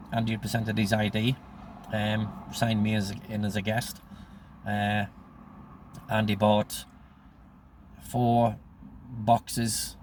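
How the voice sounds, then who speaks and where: Low, gravelly Northern Irish man of sixty. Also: background noise. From Northern Ireland. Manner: rambling, acts out remembered dialogue. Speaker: storyteller recounting his own life. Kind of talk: casual anecdotal monologue.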